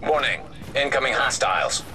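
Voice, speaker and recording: A man voicing a calm, emotionless male AI system that gives clipped warning announcements through a processed digital filter. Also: medium pitch, normal speed, poor audio. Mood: alerting. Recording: game audio with loud background noise.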